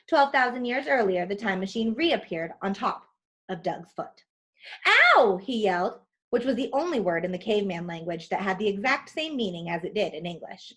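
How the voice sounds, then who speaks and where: A woman narrating a children's storybook aloud in an expressive style. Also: mid-range voice.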